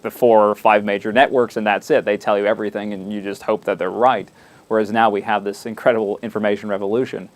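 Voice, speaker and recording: A man hosting an interview, asking rambling, halting questions with frequent restarts. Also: lowish voice. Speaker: interviewer, host.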